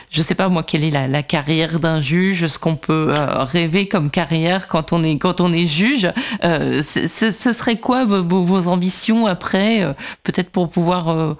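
A radio host interviewing a guest, speaking haltingly with many fillers and restarts. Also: mid-range voice. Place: radio studio.

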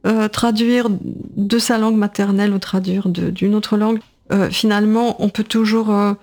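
A woman's voice: medium pitch, steady tone, normal speed, a little mellow. A woman in her sixties, a writer and translator, speaks as a guest in a radio interview, thinking aloud with many fillers and restarts. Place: radio studio.

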